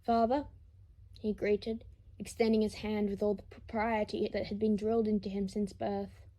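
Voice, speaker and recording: A woman's voice: medium pitch, little pitch movement, moderate pace, warm tone, low-quality recording. A woman narrating a story from a script, as in an audiobook. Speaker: fan fiction narrator.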